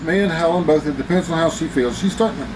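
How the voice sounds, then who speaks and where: A man in his sixties, a retired father, answering his daughter's questions in a slow, folksy, hesitant way. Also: a little high. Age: 60s. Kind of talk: casual chat. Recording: distant voice with background noise.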